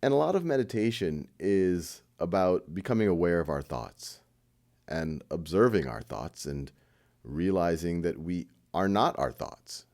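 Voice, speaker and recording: Low-voiced man guiding a meditation. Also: very animated, measured pace, darkish tone. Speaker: meditation guide. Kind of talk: guided meditation, informal and conversational.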